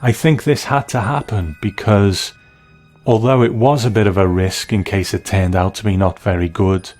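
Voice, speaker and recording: Low-pitched man narrating a television review. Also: steady tone, normal speed, darkish tone, soft background music.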